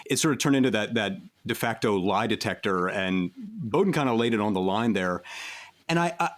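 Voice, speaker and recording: A man's voice: on the low side, lively intonation, fairly quick. A man answering as a guest in a casual interview, thoughtful as he pieces his answers together.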